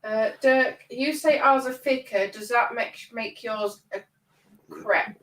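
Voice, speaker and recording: A woman's voice: on the high side, takes it slowly, fairly bright tone, roomy sound, distant mic, rough recording. Congested woman chatting on a livestream. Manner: chatty, addresses viewers by name. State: congested from a cold. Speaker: livestream co-host. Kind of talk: casual chat, reading out viewer comments.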